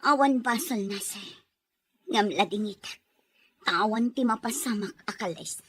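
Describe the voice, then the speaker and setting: A woman's voice: mid-range voice, measured pace, a bit bright, husky. A woman acting a sincere, stubborn young working woman in an audio drama, with an earnest, emotive delivery. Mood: pleading, defiant.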